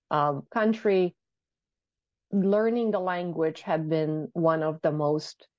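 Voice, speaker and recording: A woman hosting a podcast interview whose first language is not English, encouraging her guest and pausing with "um.". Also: sits low, darkish tone, no echo, muffled recording. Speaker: podcast host.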